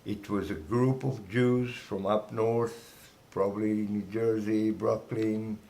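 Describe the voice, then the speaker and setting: Slow, gravelly Israeli man in his sixties. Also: lowish voice, steady tone, dark tone. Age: 60s. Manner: halting, broken English with restarts. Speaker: guest. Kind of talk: casual interview.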